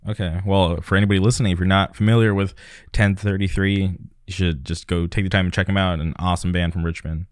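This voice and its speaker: Low-voiced, smooth male podcast host, hesitant and full of ums. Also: steady tone, quick pace, no echo. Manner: soft-spoken, hesitant, many ums. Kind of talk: casual podcast interview.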